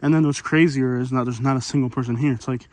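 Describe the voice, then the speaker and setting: A man's voice: mid-range voice, steady tone, fast talker, darkish tone. An American man hosting casual street interviews and vlogging outdoors on a handheld phone. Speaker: host and interviewer. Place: outdoors at streets and homeless camps. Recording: faint outdoor street noise.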